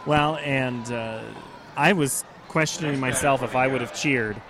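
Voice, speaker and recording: A man's voice: mid-range voice, unhurried, a crowd in the background. A man hosting a podcast, talking casually and rambling, often correcting himself mid-sentence. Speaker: podcast host. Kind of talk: casual sports talk.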